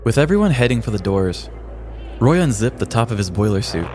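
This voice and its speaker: A man hosting a podcast, telling a comic story in a wry, deadpan scripted narration. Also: medium pitch, fairly quick, over music.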